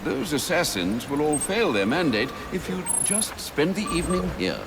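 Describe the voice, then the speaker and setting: An actor playing an older, loyal British butler in a video game, formal and sardonic with a fretful streak. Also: male voice, on the high side, sing-song, normal speed, background noise. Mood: worried, reproving. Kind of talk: video game cutscene acting.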